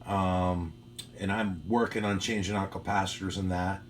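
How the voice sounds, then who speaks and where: A man chatting casually and self-deprecatingly as an amateur radio operator, unhurried, in a home radio room. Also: low-pitched, steady tone. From United States. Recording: faint hum and background noise.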